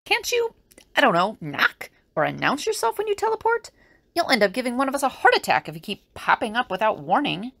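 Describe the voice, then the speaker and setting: Creaky, sarcastic, irritable woman played by an actress. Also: on the high side, very animated, a little fast, fairly bright tone, no room sound, exaggerated voice. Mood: exasperated. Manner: dry, exasperated. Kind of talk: audio drama acting.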